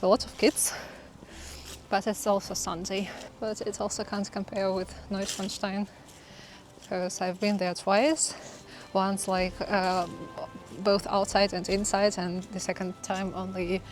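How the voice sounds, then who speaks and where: A woman's voice: medium pitch, level tone, a little slow, faint music behind, poor audio. A woman vlogger giving travel narration and casual commentary on a sightseeing visit.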